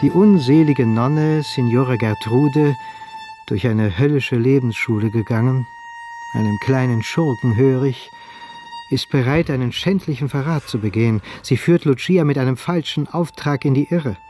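A man narrating a historical radio play as a grave, measured storyteller. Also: medium pitch, mellow, faint music behind, natural voice. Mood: solemn, suspenseful. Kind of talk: scripted dramatic narration in present tense.

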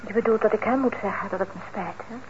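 An actress playing a proud, defensive young grown daughter in a radio drama, insistent yet hesitant. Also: female voice, mid-range voice, quick pace, natural voice. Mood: reproachful, wounded. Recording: muffled old radio recording, faint noise.

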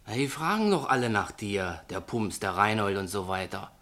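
A man's voice: lowish voice, very animated, normal speed, fairly bright tone. A man playing a blunt, hearty Berlin underworld pal with a Berlin accent in colloquial radio drama dialogue. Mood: chiding, familiar.

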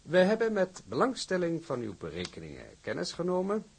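An actor playing a suave, condescending shipping director in a Dutch radio drama, speaking in a formal, patronising way. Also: male voice, on the high side, wildly swooping pitch, fairly quick, gravelly, breathy edge, exaggerated voice. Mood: courteous.

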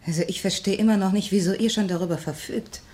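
An actress playing a forthright, wary young granddaughter in a radio crime drama, speaking directly. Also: female voice, medium pitch, steady tone, quick pace, dark tone, natural voice. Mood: questioning. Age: young adult. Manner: direct, indignant when pressed.

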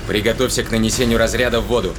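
An actor in an audio drama playing an aggressive, impatient spaceship captain. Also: male voice, fairly low pitch, steady tone, rapid-fire, close to an everyday voice. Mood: urgent. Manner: commanding, impatient. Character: aggressive, hot-headed male spaceship captain on the hunt. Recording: loud music and effects behind voice.

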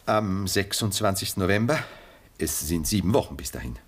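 An actor playing a worldly, imperturbable gentleman in German radio drama, speaking formally and eloquently in measured, philosophical phrases. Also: low-pitched, expressive, breathy edge, exaggerated voice. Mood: composed, courteous.

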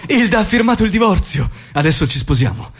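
A man's voice: sits high, sing-song, quick pace, breathy edge, soft background music, exaggerated voice. An actor playing a commanding, brusque male revolutionary in emphatic, declamatory stage dialogue. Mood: brisk, decided. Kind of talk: theatrical dialogue acting.

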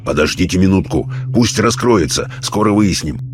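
Deep-voiced man acting a patient seance questioner. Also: expressive, moderate pace. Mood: concerned. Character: calm, firm, patient male seance questioner.

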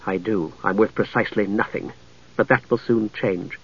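A man voicing a young mage, quiet and bitter, with a cool, restrained delivery in a fantasy audiobook. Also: lowish voice, normal speed, vocal fry, husky, muffled recording, voice pushed down.

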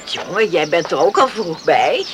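An actress playing a practical, managing farm wife in a Dutch radio play, brisk and down-to-earth. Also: female voice, on the low side, big pitch swings. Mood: practical, curious. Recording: noisy old radio play recording.

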